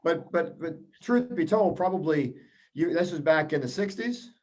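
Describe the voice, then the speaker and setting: A man's voice: fairly high pitch, lively intonation, normal speed, a little mellow. A man moderating an interview, asking eager, rambling questions with frequent restarts.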